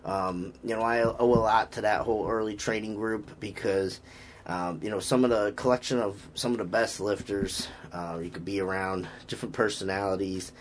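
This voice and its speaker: Low-voiced Boston man, rambling coach talk. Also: fairly even intonation, normal speed, slight background noise. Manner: rambling, frequent fillers and restarts, upbeat about lifting. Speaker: strength coach and podcast host. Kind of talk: casual podcast chat.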